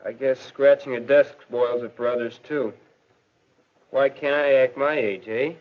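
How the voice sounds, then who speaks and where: A man's voice: medium pitch, fairly even intonation, unhurried, lightly acted. A young American man playing a polite, earnest, self-conscious teenage schoolboy in a 1940s educational film. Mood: reflective. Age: teenager or young adult. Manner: earnest, deferential. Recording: dull, lo-fi 1940s film soundtrack.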